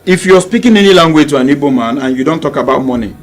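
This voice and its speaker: A man's voice: fairly high pitch, expressive, quick pace. A young man from southeastern Nigeria making his case in a televised panel, repeating himself to hold the floor. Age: young adult. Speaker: young political candidate. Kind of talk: panel discussion.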